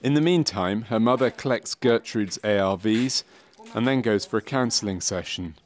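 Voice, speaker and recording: A man narrating a medical training film from a script, in a measured, clinical and factual way. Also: on the low side. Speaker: documentary narrator.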